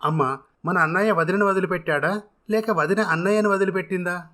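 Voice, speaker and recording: A man's voice: high voice, brisk, pushed and bright. A man voicing a frank, confident young son talking with his mother in a dramatised story. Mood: assertive.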